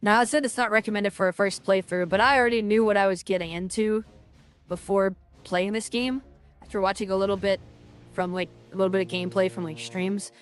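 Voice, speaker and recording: A woman's voice: mid-range voice, steady tone, a little fast, smooth, soft background music. Rambling woman playing a game. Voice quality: loud voice. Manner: rambling, lots of fillers and restarts. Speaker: gaming streamer. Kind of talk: casual game commentary.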